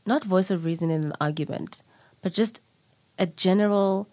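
A woman's voice: lowish voice, normal speed, no room sound. A South African woman hosting an interview, asking probing questions in a conversational way. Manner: warm, probing questions, mixes in Xhosa phrases. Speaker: podcast host.

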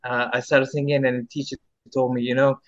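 A man's voice: mid-range voice, flat delivery, a little fast, highs cut off. A South African singer in his thirties, answering interview questions in a rambling way with many restarts. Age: 30s. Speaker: singer-songwriter guest.

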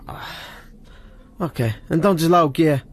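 An actor playing an excitable, teasing student friend in a radio drama, full of interjections. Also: male voice, a little high, a little slow, a little hiss or hum, natural voice. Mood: reluctant. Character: film-obsessed male student, reluctant but loyal friend.

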